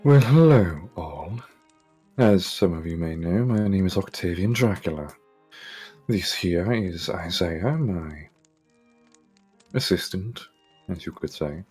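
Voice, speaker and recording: A man acts as a vampire nobleman in a game roleplay. His voice is extremely deep and husky, with faint music behind. He speaks with formal, gracious politeness. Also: expressive, slow, long pauses, a little mellow, full character voice. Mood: polite, measured. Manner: polite, stately. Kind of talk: Minecraft roleplay acting.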